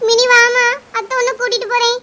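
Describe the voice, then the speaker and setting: A woman playing a worried village mother who talks fast and urgently in an amateur audio drama. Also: extremely high pitch, level tone, bright, forceful tone. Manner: urgent, anxious, fast-talking. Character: worried village mother, warm elder sister figure.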